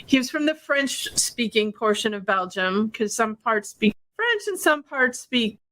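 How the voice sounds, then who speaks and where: A woman from Wisconsin co-hosting a casual podcast, her voice bright and a little high. She tells stories in a quick, animated way, acting out other people's lines as she goes. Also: poor audio. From Wisconsin, USA. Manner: animated, quick storytelling with acted-out quotes. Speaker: podcast co-host.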